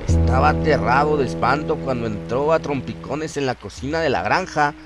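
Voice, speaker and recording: A man's voice: medium pitch, normal speed. A man reading a scripted horror story as its narrator. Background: music behind the voice.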